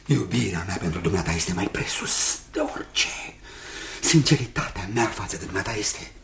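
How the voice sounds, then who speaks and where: An actor in a radio drama playing a menacing man obsessed with love, theatrical and intense, shifting from hushed to fierce. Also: low-pitched, animated, creaky, background noise. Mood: fervent, pleading.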